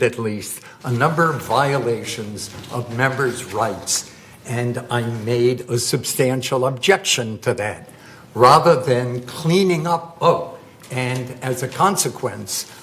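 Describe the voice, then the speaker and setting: An older man, a board member, raising a formal objection at a community board meeting, measured and indignant and halting with frequent "uh". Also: medium pitch, slight background noise.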